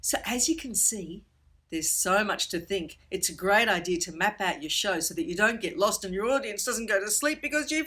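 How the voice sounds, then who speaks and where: A woman presenting an instructional workshop for children in a theatrical, expressive storyteller's way. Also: on the high side, breathy edge.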